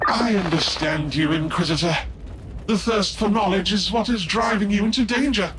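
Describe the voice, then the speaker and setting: High-voiced theatrical villainous tech-priest savant. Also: male voice, moderate pace, a bit bright, faint music behind, rough recording. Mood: fervent, regretful. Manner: formal, theatrical, verbose. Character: obsessive renegade tech-priest savant, courteous yet menacing villain. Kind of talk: dramatic video game acting.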